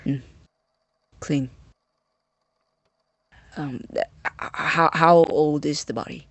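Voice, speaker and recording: Husky, stammering young scientist. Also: male voice, on the high side, fairly bright tone, no room sound. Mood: fascinated, hesitant. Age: young adult. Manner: stammering, halting, nervous. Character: nervous, obsessive young male student scientist, awkwardly eager. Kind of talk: naturalistic audio drama acting.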